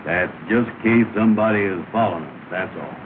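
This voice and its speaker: Actor playing a bossy blowhard, lowish voice, telling everyone not to worry, scratchy old movie hiss. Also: male voice, a little fast, natural voice. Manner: bossy and reassuring, giving orders. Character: bossy, falsely confident man. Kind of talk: 1930 film comedy acting. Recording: scratchy tinny 1930 film soundtrack with hiss.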